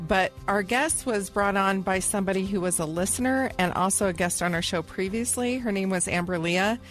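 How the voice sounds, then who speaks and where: A woman's voice: mid-range voice, fairly bright tone. A woman co-hosting a podcast, upbeat and chatty as she talks with a guest. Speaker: podcast co-host. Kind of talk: casual interview talk.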